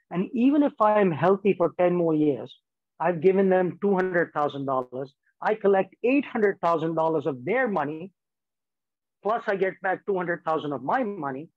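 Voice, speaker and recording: A man's voice: a little high, no echo. A man in his fifties, an insurance speaker, giving an estate planning lecture to a community group over a video call, gesturing and walking through money examples step by step. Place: small room at home, plain wall behind. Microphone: laptop webcam on a video call. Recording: dry, slightly dull video-call audio.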